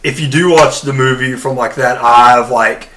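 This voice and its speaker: A man co-hosting a casual podcast discussion of films, explaining his points in a measured, slightly hesitant way. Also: mid-range voice, fairly even intonation, bright, forceful tone, a little hiss or hum. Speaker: horror podcast host.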